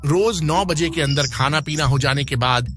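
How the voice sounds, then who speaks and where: Male actor for middle-aged caretaker in horror tale: deferential, talkative, slightly high voice, repeats himself when cautioning. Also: normal speed, over music, close to an everyday voice. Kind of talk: acted audio horror story dialogue.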